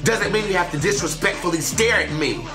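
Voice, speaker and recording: A man hosts a flamboyant radio show with sass and a theatrical, sing-song delivery. His voice is a bit raspy from being sick. He sounds like he is in an echoey room, with music playing clearly behind him. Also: high-pitched, expressive, a little fast. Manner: sassy, theatrical, sing-song, calls people honey. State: slightly raspy from illness. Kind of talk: flamboyant talk radio monologue. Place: radio studio.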